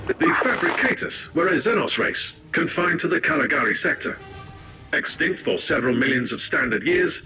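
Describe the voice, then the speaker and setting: An actor playing a pedantic half-machine tech-priest in a video game, reporting data in formal, clinical, clipped phrases. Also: male voice, on the high side. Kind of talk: video game cutscene acting.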